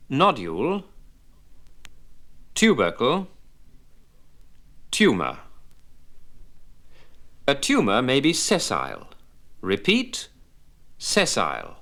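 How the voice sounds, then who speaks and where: A man narrating a scripted vocabulary lesson as a medical English instructor, setting terms apart with deliberate, didactic enunciation. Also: medium pitch, a bit bright.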